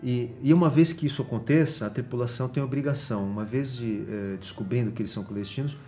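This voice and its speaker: Man, brazilian accent, professor in an interview, halting explanations, faint music behind. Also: mid-range voice, dark tone. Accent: Brazilian Portuguese. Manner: explanatory, many fillers and restarts. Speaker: guest professor.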